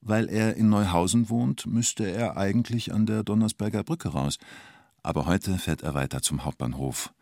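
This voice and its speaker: A man's voice: lowish voice, moderate pace, creaky, a little mellow, no echo, clean studio sound. A man narrating a scripted documentary radio drama in terse, short phrases. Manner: terse present-tense storytelling in short clipped phrases.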